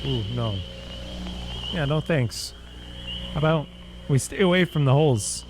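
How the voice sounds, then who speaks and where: A man hosting a reaction video, giving casual commentary with muttered asides, quick exclamations and chuckles. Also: on the high side, expressive, noisy background.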